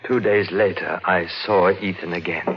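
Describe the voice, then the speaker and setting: An actor playing a haunted, fragile gardener with an overwrought, halting delivery in a 1940s radio drama. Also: male voice, low voice, steady tone, loud music behind the voice. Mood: anguished, haunted. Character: haunted, fragile gardener widower confessing to madness and killing. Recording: dull old radio broadcast recording.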